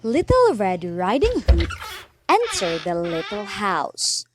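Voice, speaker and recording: A woman's voice: mid-range voice, huge pitch swings, slow pace, slight background noise. A woman narrating a children's fairy tale from a script in a dramatic storybook style.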